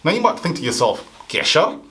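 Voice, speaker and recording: A man's voice: fairly high pitch, big pitch swings, fairly quick, pushed and bright. A man from Africa hosting a comedy show, delivering a satirical monologue with sarcastic, punchy mock outrage.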